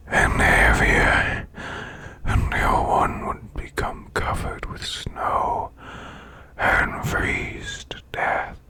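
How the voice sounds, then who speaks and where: A man voicing a male demon boss in an ASMR bedtime reading, with a breathy, rasping, growled whisper and slow, deliberate storytelling. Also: bright, forceful tone, heavily acted. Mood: eerie, hushed. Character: male demon boss, growling while lulling listener to sleep.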